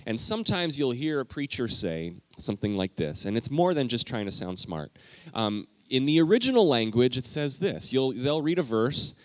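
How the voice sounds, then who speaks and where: A man preaching a conversational teaching sermon in a church, talking directly to the congregation with lively emphasis. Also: medium pitch, normal speed. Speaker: pastor. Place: church sanctuary.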